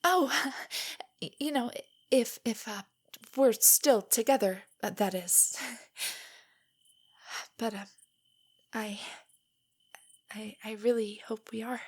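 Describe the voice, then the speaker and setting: Woman acting a breathy, nervous, nerdy girlfriend. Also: fairly high pitch, slow pace, pauses often, full character voice. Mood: nervous, hopeful. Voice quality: soft, breathy, often near a whisper. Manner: rambling, gently explaining, hesitant. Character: nerdy, nervous, tender young girlfriend on a stargazing date. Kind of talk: intimate roleplay audio, scripted to sound spontaneous.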